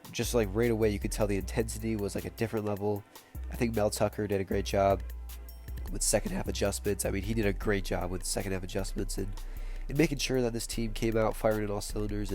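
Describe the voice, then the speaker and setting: A man's voice: fairly low pitch, darkish tone. A young man with a bad cold and stuffed-up nose, casually recapping a football game with lots of fillers. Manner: casual, rambling, many fillers. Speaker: sports podcast host.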